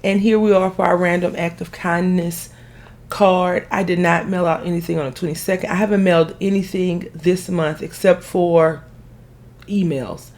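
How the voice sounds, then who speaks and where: A woman hosting a craft video, chatting casually as she stamps a card. Her voice is raspy and a little hoarse from being sick, and she rambles and corrects herself as she goes. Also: fairly low pitch, fairly even intonation, normal speed, a little mellow. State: recovering from illness, hoarse. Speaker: craft channel host.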